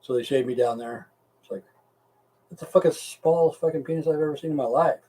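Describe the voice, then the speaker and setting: A man's voice: a little high, a little fast, mellow, far from the mic, low-quality recording. A middle-aged or older American man chatting casually and rambling to live stream viewers, with a blunt, folksy, profane way of talking.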